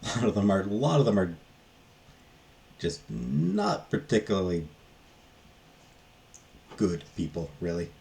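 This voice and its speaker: A man giving a casual, unscripted book review in a halting, rambling way that often trails off. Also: on the low side, big pitch swings, creaky, darkish tone.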